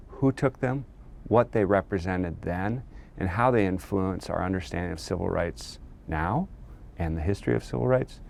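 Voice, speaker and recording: A man speaking as an interviewed historian, explaining in an earnest, measured way. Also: lowish voice, darkish tone. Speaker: historian and author being interviewed.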